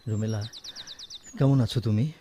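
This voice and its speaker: An actor in a Bengali radio drama playing an affectionate young father and devoted son, gentle and earnest, with a Bangladeshi accent. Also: fairly low pitch, steady tone, moderate pace, slight background noise, close to an everyday voice. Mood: teasing, tender.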